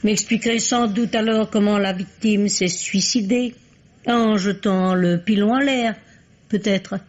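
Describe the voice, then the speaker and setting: A woman's voice: medium pitch, steady tone, measured pace, slight background noise, close to an everyday voice. An actress playing the police commissioner's witty female confidante in a radio mystery, ironic, amused and urbane. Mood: mocking, teasing. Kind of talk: French radio mystery drama acting. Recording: dull, band-limited radio drama recording.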